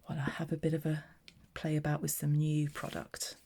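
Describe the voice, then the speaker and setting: Woman, low voice, mellow tone, chatty, talks through her card making. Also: completely flat delivery, a little fast, a little hiss or hum. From southeast England. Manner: chatty, narrating her own hands-on work. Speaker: papercraft demonstrator. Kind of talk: casual craft tutorial chat.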